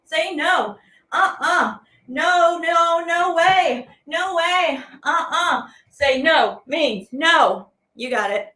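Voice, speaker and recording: A woman's voice: very high-pitched, expressive, very slow, bright, forceful tone. A woman hosting a children's lesson as a teaching artist, speaking playfully and animatedly to viewers at home in simple, repeated phrases.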